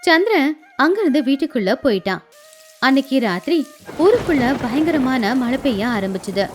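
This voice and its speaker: High, very lively female story narrator. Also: normal speed, over music.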